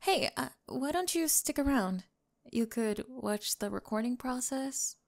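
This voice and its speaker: Woman acting as sweetly smitten young female pop star, slow pace, slightly creaky, breathy, hesitant, ASMR romance roleplay. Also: high voice, lively intonation, heavily acted. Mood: eager. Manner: soft-spoken, breathy, giggly and hesitant.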